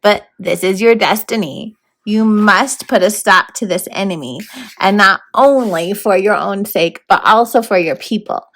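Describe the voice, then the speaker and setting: A woman's voice: mid-range voice, unhurried, a bit bright. A woman voicing a formal, fussy, stern male horned toad mentor in a storybook read-aloud. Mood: solemn. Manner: proper, grandly worded, matter-of-fact.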